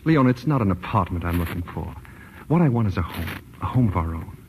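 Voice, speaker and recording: A quick, fairly low-pitched man's voice plays a resentful kept husband in a 1940s radio drama. He is earnest and pleading, with some hiss from the old recording. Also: big pitch swings, low-quality recording. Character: frustrated, kept husband of a rich wife.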